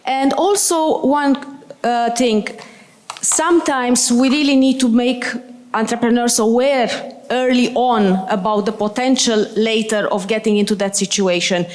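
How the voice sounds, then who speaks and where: A woman from Malta asking a panel a quick question from the audience, in a conversational way. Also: a little high, steady tone, darkish tone. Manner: quick, conversational, says you know. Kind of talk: question from the floor.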